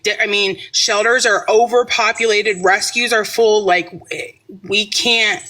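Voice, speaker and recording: A woman co-hosts a livestream with a bright, sharp voice at a middling pitch. She chats casually, cutting in with quick remarks and apologies. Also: steady tone, rough recording.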